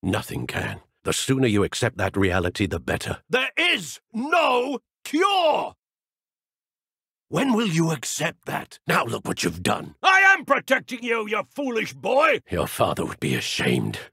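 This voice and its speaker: A gravelly, husky older British wizard from a video game. He is a strict guardian uncle with a short temper, curt and scolding, and his pitch moves widely as he talks. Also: huge pitch swings, a little slow, bright, forceful tone, dry recording, studio quality, full character voice. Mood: furious shouting.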